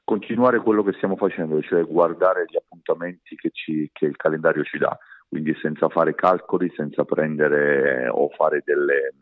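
A man giving measured, hesitant answers as a sports coach in a radio interview. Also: rumbling low, fairly even intonation, dry recording, narrow, tinny audio. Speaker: basketball coach being interviewed.

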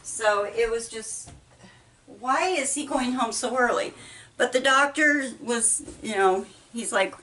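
A middle-aged woman with a Midwestern American accent, chatting casually while she folds laundry, talking haltingly with many ums and restarts. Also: a little high, sharp tone. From United States. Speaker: vlogger. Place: small indoor laundry room. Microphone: camera set apart from her. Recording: voice a bit far from mic.

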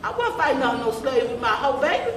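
A woman's voice: sits high, very animated, fast talker. A woman playing an old field-hand grandmother in a play, folksy, scolding and rambling, with a drawling delivery. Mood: bossy, scolding. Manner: folksy, rambling, calls listeners baby. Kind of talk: amateur gospel stage play monologue, storytelling. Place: church sanctuary stage, mid-sized hall. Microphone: camera some metres away. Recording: roomy church hall, distant camera mic, audience noise.

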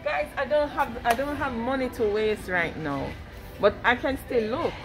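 A woman's voice: fairly high pitch, normal speed, music in the background, low-quality recording. A Jamaican woman in casual, chatty conversation while vlogging a shopping trip. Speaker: family vlogger. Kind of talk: casual shopping vlog chat.

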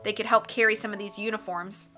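A woman's voice: mid-range voice, fairly even intonation, quick pace, pushed and bright, lightly acted. A woman voicing a grumbling but deferential male henchman in a storybook reading.

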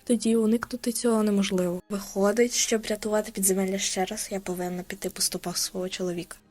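A woman voicing a dignified, gentle widowed monster queen in a comic dub, with a soft regal manner. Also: medium pitch, steady tone, fast talker, faint music behind, close to an everyday voice. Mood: grave.

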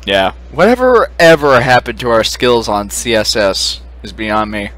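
A young man co-hosts a casual podcast. He speaks at a relaxed, slow pace in a mid-pitched voice. His intonation moves around a lot as he chats. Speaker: podcast co-host. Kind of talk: casual chat.

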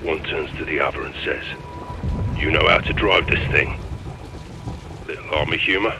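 A stoic special forces lieutenant in a mask for a military video game. His voice is extremely deep, and he speaks in clipped, darkly deadpan lines. Noisy ambience runs behind him. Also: normal speed, creaky. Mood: deadpan joking. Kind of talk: naturalistic video game acting.

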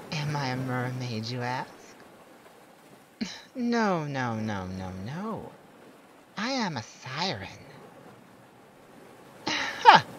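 A performer voicing a proud, lonely male siren in a scripted ASMR roleplay, speaking theatrically and teasingly to one listener. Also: medium pitch. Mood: amused, teasing. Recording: steady background noise of beach ambience. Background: beach and ocean sounds.

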